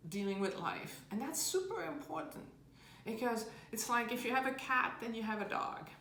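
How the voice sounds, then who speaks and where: A woman's voice: medium pitch, fairly bright tone. A woman relationship coach giving earnest advice and explaining with homely analogies. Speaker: relationship coach answering viewer questions. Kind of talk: casual advice talk. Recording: roomy with faint background noise.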